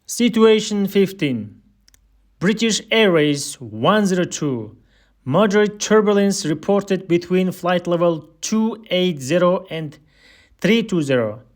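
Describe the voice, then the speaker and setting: Male instructor, high voice, unhurried and deliberate, reading scripted air traffic control phraseology for a listening drill. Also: lively intonation.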